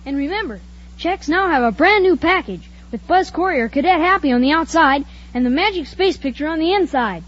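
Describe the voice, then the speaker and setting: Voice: high voice, animated, fairly quick. A performer voicing an eager, gushing American boy in a 1950s radio cereal commercial. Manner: gushing, exclaiming. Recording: muffled, noisy old radio recording.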